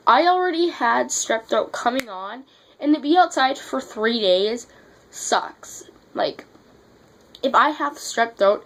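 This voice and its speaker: Sick teenage girl, hoarse and congested. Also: fairly high pitch, a little hiss or hum. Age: teens. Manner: blunt, exasperated, swears freely. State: sick, losing her voice, congested. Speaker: vlogger. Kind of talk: casual storytelling vlog.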